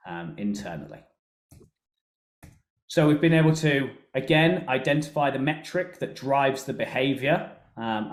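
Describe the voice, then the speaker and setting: A man presenting a software walkthrough in a webinar, explaining things in a conversational way. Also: mid-range voice. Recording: roomy, echoey sound.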